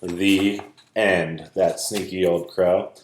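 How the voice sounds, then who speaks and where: A man reading a rhyming children's story aloud in a dramatic, expressive way. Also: low voice, slow pace. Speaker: storybook reader. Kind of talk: animated children's read-aloud, rhyming narration. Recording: roomy, echoing sound.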